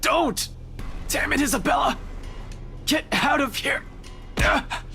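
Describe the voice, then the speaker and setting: A desperate, defiant detective in a horror game, played by a man, choking out words in slow, strained bursts. The voice is high and croaky, with music behind. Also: bright, forceful tone, heavily acted. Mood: desperate urgency. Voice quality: choked, croaking. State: gasping, strained. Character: defiant, foul-mouthed detective being strangled, desperate. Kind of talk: visual novel character dialogue, read aloud.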